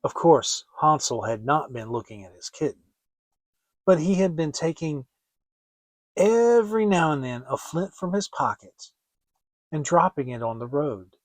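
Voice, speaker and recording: A man narrating a fairy tale as a dramatic reading, in a theatrical, deliberate storytelling manner. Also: fairly high pitch, lively intonation, no echo. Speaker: audiobook reader.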